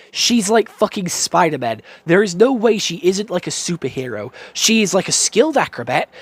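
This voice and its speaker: A young man with a British accent reviews a game in loose, excited bursts, full of swearing and stammered restarts. His voice sits a little high for a man. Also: no echo. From United Kingdom. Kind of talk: casual profane game review.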